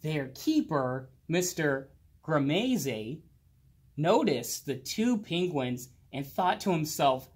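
A man reading a children's picture book aloud expressively, drawing out words and pausing. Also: on the high side, breathy edge. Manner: slow, animated, with drawn-out words and pauses. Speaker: children's storybook reader. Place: indoors, library with bookshelves.

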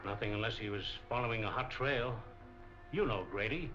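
An actor playing a sober, supportive middle-aged police chief, measured and formal, in a 1940s film. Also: male voice, on the low side, fairly bright tone, faint music behind, natural voice. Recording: dull, band-limited 1940s film soundtrack.

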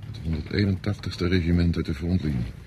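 Radio drama: male actor as seasoned soldier, low register, self-assured, cynical; clearly noisy recording. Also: brisk, lightly acted. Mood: knowing, grim. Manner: knowing, explanatory, self-assured. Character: worldly-wise, cynical male veteran soldier, unhurried. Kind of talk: Dutch radio drama acting. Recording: noisy radio play recording, outdoor ambience.

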